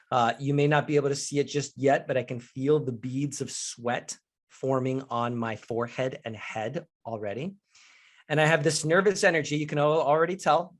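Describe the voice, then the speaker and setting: A man in his forties from Mexico speaks as a leadership trainer in a live online session. His delivery is earnest and candid, and he addresses the listeners directly. His voice sits at an ordinary middle pitch for a man, and it comes through a video-call microphone. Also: a bit bright. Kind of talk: live online workshop presentation.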